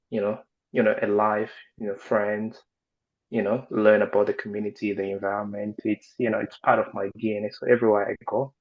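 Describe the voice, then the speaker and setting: A man speaks in a lowish, even, unhurried voice, hedging almost every phrase with "you know". He shares his experience as a former refugee on a video call. The audio is muffled and lo-fi. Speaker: resettled former refugee panelist. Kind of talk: casual spoken testimony on a video call.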